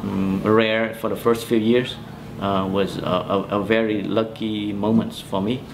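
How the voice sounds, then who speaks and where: A man in his forties from Vietnam with a Vietnamese accent, recounting memories in a halting, hesitant way as he searches for words in an interview. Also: fairly low pitch, level tone, creaky, background noise. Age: 40s. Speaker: interviewee, musician. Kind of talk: oral history interview, personal recollection. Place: small bedroom, indoors.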